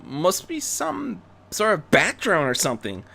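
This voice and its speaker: A man voicing a cocky, jeering street gang thug who exclaims loudly in a story reading. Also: sits high, huge pitch swings, measured pace, vocal fry, voice pushed up, exaggerated voice. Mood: jeering, alarmed. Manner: loud exclaiming.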